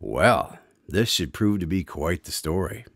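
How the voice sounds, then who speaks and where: A man's voice: low voice, expressive, measured pace, vocal fry, a bit bright, no echo, clean studio sound. A man hosting a scripted radio drama in an old-style presenter's manner. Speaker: radio show host.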